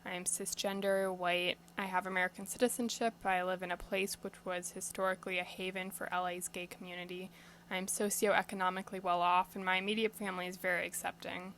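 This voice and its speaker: A woman's voice: lowish voice, level tone, a little fast, a bit bright, a little hiss or hum. A teenage girl reading her own essay aloud in an earnest, direct way. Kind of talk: scripted personal essay reading.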